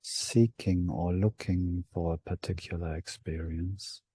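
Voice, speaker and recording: A man leading a guided meditation in a hushed, unhurried manner with long pauses between short phrases. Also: low voice, steady tone, slightly rough, dry recording.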